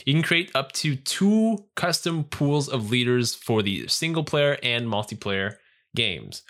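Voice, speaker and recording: Bright-voiced man, animated. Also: mid-range voice. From Canada. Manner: animated, joking, self-mocking. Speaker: podcast co-host. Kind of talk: casual chat about game patch notes.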